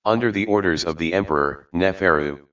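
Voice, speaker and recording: Casting: male actor as a low-pitched, impassive palace computer, clipped and formal, slightly muffled sound. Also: fairly quick, fairly bright tone, distant mic. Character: obedient, emotionless palace computer, deep male machine.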